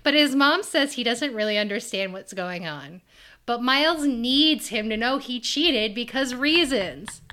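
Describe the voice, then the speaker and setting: Woman podcast host, super lively, bright voice, swears a lot while recapping tv. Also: on the high side, unhurried, slight background noise. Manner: laughs often, swears casually. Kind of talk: casual chat recapping a TV episode.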